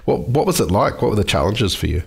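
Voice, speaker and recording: A man hosting a food podcast, asking measured interview questions. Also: on the low side, very animated, creaky, breathy edge. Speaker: podcast host.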